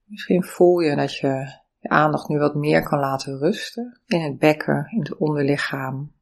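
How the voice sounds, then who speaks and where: A meditation teacher giving calm, soothing, step-by-step guidance through breathing and body awareness. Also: mid-range voice, normal speed, gravelly. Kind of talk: guided meditation instruction.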